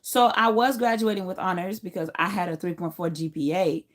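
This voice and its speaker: A woman's voice: fairly low pitch, steady tone, fairly quick. A woman around thirty from Haiti, a non-native English speaker who learned it as a teenager, tells personal stories to a live stream in an informal, emphatic way, repeating phrases. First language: not English, learned it as a teenager. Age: late 20s to early 30s. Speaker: livestream host.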